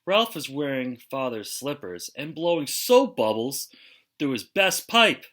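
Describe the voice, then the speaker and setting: Male storybook reader for young children, unhurried and forceful, with expressive, dramatic delivery. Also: mid-range voice. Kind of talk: expressive children's picture book read-aloud.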